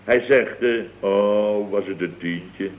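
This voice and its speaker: Blustery dutch boss from old radio comedy, fed up, lots of exclaiming, bit muffled recording. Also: male voice, fairly low pitch, takes it slowly, background noise. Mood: mock jovial. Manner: exclamatory, anecdotal, full of tag questions. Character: blustering, exasperated male boss of a building firm. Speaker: company director in a radio comedy serial. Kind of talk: late 1960s radio comedy acting.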